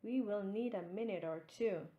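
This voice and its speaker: A woman's voice: mid-range voice, normal speed, muffled recording, close to an everyday voice. A woman voicing a cheerful, literal-minded housekeeper in a children's story, matter-of-fact and eager, in an echoey room. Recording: roomy echo.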